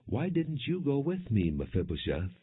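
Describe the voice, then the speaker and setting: A man's voice: mid-range voice, wildly swooping pitch, moderate pace, breathy edge, pitched above the performer's own voice, full character voice. A man reading the words of an authoritative, formal king in a scripture audiobook. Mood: firm. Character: authoritative king of Israel, stern yet merciful. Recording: dull, muffled lo-fi audio.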